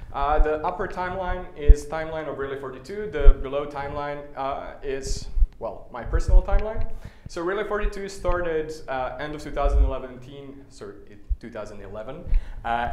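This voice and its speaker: A man from Serbia giving a talk at a tech meetup, casual and wry, with frequent filler sounds. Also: fairly high pitch. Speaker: conference speaker. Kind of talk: conference talk with slides. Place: meetup stage, indoors. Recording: echoey room, faint noise.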